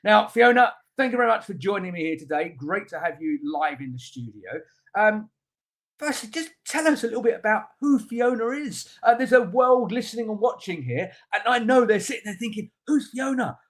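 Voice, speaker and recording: Man, webinar host, chatty and enthusiastic, fairly high voice, lots of ums. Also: lively intonation, normal speed. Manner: enthusiastic, chatty, many fillers. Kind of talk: live webinar interview.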